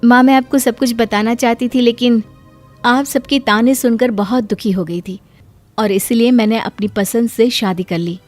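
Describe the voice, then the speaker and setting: A woman's voice: fairly high pitch, fairly even intonation, normal speed, a little mellow, soft background music, natural voice. A woman playing a hurt but self-respecting young daughter in a moral story, earnest, polite but firm. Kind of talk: moral story cartoon dialogue.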